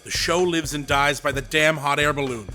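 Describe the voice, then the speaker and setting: An actor playing a domineering, theatrical radio director who speaks with sarcastic command in an audio drama. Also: male voice, a little high, steady tone, normal speed, bright, forceful tone, faint music behind. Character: grandiose, domineering male radio director, mid-career.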